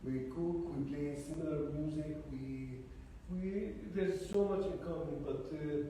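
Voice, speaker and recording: A man from Palestine, a guest musician explaining things thoughtfully and haltingly, with many restarts. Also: fairly high pitch, dark tone. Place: music hall venue. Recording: echoey hall from far off, faint noise.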